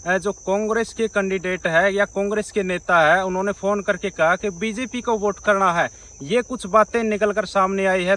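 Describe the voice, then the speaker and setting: A man presenting an informal news report to viewers in a halting, repetitive way with frequent hesitations. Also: high voice, pushed and bright, poor audio.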